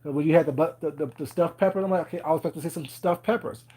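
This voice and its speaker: A man's voice: fairly high pitch, steady tone, very fast, warm tone, slightly rough. A man, chatting casually on a live stream, rambling with lots of fillers and laughing often. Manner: rambling, many fillers and "you know what I'm saying"; laughs often, teasing viewers. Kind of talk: casual chat.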